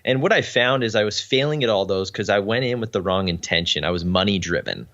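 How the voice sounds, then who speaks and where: A man's voice: fairly low pitch, expressive, a little fast, a bit bright, highs cut off. A young Canadian man, a podcast guest, talking earnestly in a casual interview with frequent ums. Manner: earnest, uses many ums.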